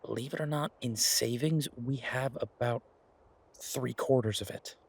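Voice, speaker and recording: A man playing a practical restaurateur boyfriend in a roleplay audio drama, talking casually and thoughtfully with halting pauses, as if explaining a plan to a partner. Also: mid-range voice, vocal fry, slightly rough. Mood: reassuring, earnest. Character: male werewolf boyfriend, practical restaurateur pitching a farm plan. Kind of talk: roleplay audio drama, naturalistic one-sided conversation.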